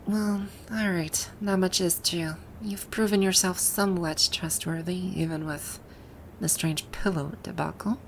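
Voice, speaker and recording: Woman acting a haughty, slow-spoken villainess boss. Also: fairly low pitch, fairly even intonation, a little hiss or hum. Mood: guarded, wistful. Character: haughty, easily flustered female video game final-boss villain. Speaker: voice actor. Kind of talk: ASMR roleplay acting.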